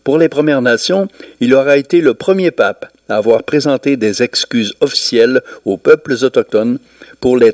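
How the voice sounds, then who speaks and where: A man reporting a news item in a measured, scripted way. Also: mid-range voice, a little mellow, no room sound, clean studio sound. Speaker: radio reporter.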